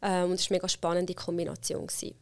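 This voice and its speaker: Woman, middle-aged, Swiss German accent, smooth clear voice, casual interview talk, lots of fillers and laughs. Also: on the low side, little pitch movement, racing. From Switzerland. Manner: chatty, many fillers, laughs often. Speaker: interview guest, HR consultant.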